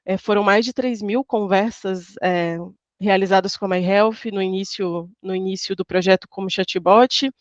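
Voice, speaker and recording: A woman's voice: medium pitch, fairly even intonation, moderate pace, no echo. Woman on a dull lo-fi call. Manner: thoughtful, with many restarts and fillers. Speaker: panelist. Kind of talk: panel talk.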